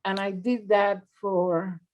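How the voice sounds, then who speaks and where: An older woman from Cuba, a native Spanish speaker, talking haltingly on an art panel about her experience. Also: mid-range voice, level tone, darkish tone, highs cut off. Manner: halting, searching for words. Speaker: panel artist. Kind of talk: conversational panel discussion.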